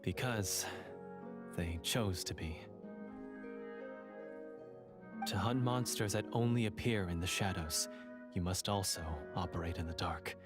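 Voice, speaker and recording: A man's voice: low-pitched, moderate pace, over music, lightly acted. An actor voicing a nervous young man who stammers and slips into grand, pompous speeches, in a video game. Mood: solemn. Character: nervous young man, sheepish schemer turned theatrical. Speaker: scriptwriter character in a game.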